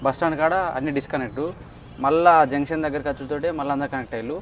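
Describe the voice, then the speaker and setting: A man's voice: fairly high pitch, steady tone, racing. A man in casual chat, explaining things step by step outdoors. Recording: slightly distant mic, faint outdoor noise.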